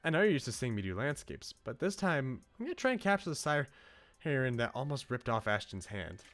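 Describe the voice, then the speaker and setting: A man voicing a wistful, gentle young woman from a visual novel, in a musing manner. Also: mid-range voice, sing-song, fairly quick. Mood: earnest, doubting. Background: game music.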